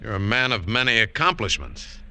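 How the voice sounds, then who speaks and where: An American actor playing a tough, wry adventurer who tells his story with dramatic pauses in 1940s radio style. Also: lowish voice, big pitch swings, pushed and bright, heavily acted. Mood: cool, wry. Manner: hard-boiled, dramatic pauses. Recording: vintage 1940s radio broadcast with music.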